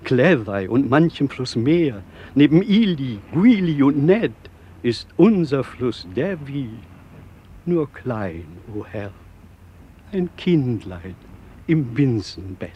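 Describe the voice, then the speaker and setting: A solemn old reverend reciting verse. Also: male voice, medium pitch, very animated, takes it slowly, dark tone, lo-fi sound, a little hiss or hum. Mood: solemn devotion. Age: older. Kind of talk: radio play verse recital.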